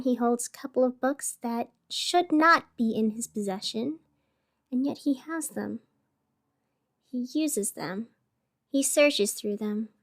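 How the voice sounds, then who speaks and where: A woman playing a radio host in an audio drama, narrating with measured, theatrical delivery and dramatic pauses. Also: a little high. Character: sleepless, over-caffeinated female radio host in strange city. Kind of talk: scripted radio fiction narration.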